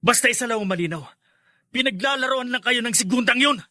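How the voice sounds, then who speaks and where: A man's voice: very high voice, fast talker, pushed and bright, no echo, studio quality, heavily acted. An actor playing an angry, defiant young man confronting his family in a radio drama. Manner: indignant, accusing.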